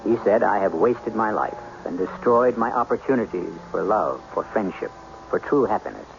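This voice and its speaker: Male host for vintage radio drama, lowish voice, theatrical and portentous narration, dull old recording. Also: lively intonation, gravelly, a little hiss or hum. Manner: portentous, deliberate, rhetorical.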